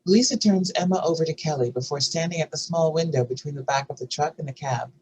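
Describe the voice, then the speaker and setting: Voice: medium pitch, fast talker, a little mellow, slight background noise, rough recording. A narrator reading scene headings and action lines from a screenplay at a table read. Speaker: narrator reading stage directions.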